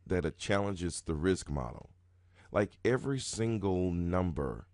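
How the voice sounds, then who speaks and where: Very deep-voiced man, dry close sound. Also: no echo. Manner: rambling, repetitive, many restarts, sudden jumps in pitch and loudness. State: low, groggy morning voice. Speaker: roulette strategy video host. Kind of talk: casual talking-head explanation.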